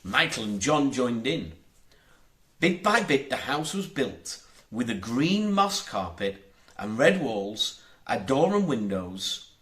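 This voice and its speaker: A man narrates a children's story at an unhurried pace. His medium-pitched voice rises and falls widely, and each phrase is set out deliberately and expressively for young listeners. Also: sing-song, fairly bright tone. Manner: measured, deliberate storytelling delivery. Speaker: audiobook narrator.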